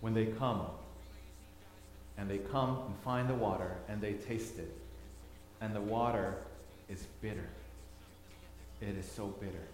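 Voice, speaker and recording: A man's voice: lowish voice, unhurried, pauses often. A man from Thailand giving a sermon with slides, telling the story with repeated words for emphasis. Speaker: preacher. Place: church hall. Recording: echoey hall, long reverb.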